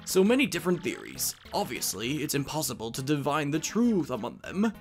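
A man voicing a scholarly, fussy, excitable old professor in a game, speaking in formal, old-fashioned phrases. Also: fairly high pitch, brisk, faint music behind. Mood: scholarly, earnest.

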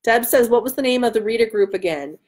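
A woman in her thirties hosting a casual live stream from home, loud, excitable and bantering as she answers comments. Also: fairly high pitch, fast. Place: room at home by a large window.

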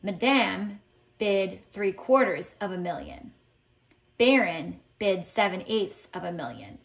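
A woman reads a story aloud for children in a medium-pitched voice. Her delivery is deliberate and expressive, with emphasis on key words, in the manner of a teacher giving a lesson. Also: vocal fry. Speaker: teacher reading a picture book aloud. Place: indoors at home, tiled floor.

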